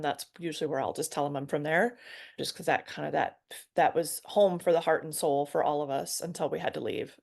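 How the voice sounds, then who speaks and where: A middle-aged American woman, a guest on a video call from a spare room, telling her story in casual conversation, chatty and quick to laugh at herself. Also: low voice, gravelly. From United States. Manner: chatty, self-deprecating, laughs easily.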